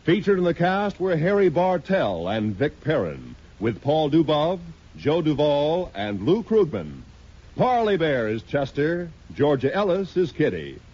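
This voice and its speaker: A man's voice: a little high, very animated, unhurried, darkish tone. A man with an American accent announcing on 1950s network radio in a formal, polished style. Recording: dull 1950s radio recording with music. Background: music.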